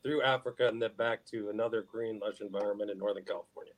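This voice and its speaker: A man's voice: on the low side, steady tone, fast. A man hosting a podcast, introducing and questioning a guest in a casual interview style. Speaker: podcast host.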